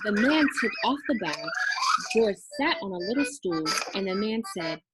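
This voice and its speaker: A young adult woman reading a children's storybook aloud in an expressive, storytelling way. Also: medium pitch, normal speed, poor audio. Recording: music behind the voice. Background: music.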